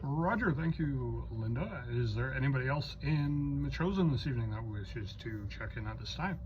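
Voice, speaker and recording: A man's voice: medium pitch, lively intonation, moderate pace. Man running a radio net, muffled noisy recording. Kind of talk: ham radio net roll call, procedural radio talk. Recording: muffled lo-fi audio with clear noise.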